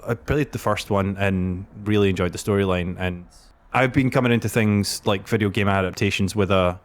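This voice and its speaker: A Scottish man with a fairly low voice hosts a casual podcast discussion. He speaks hesitantly, often repeating words as he works toward his point. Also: fairly even intonation, moderate pace. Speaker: podcast host, game shop staff.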